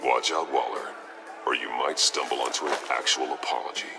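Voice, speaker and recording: A man playing a grim, menacing vigilante in a video game, speaking in a gravelly growl, terse and stern. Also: a bass voice, steady tone, moderate pace, over music. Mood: cold, cutting. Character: grim, menacing masked male vigilante, righteous and accusing. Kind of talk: dramatic video game acting.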